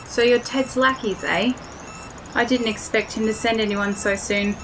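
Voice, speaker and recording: A woman's voice: on the high side, fairly even intonation, normal speed, noisy background, natural voice. A woman playing a self-assured, blunt pilot and bomb tinkerer with a wry manner in an audio drama. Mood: cocky, wry. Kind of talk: scripted audio drama acting.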